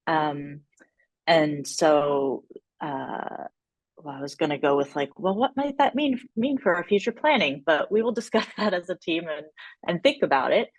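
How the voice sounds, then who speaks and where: Woman, workshop presenter, lowish pitch, hesitant measured phrasing, informal delivery, very little room echo. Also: animated. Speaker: workshop presenter on an evaluation team.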